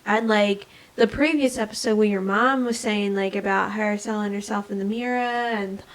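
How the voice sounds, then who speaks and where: A woman's voice: mid-range voice, steady tone, moderate pace. A young woman co-hosting a podcast, talking casually in a rambling way full of restarts. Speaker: podcast co-host and editor. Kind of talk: casual chat.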